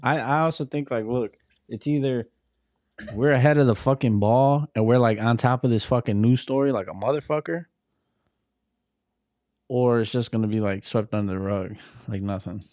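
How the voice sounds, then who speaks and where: A man's voice: medium pitch, normal speed, darkish tone, no room sound, clean studio sound. A man chatting casually, with heavy slang and swearing like a vlogger talking things over. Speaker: vlogger interviewing a witness.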